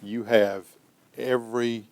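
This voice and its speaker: A man in a reflective documentary interview, choosing his phrases with deliberate weight. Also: medium pitch, little pitch movement, darkish tone.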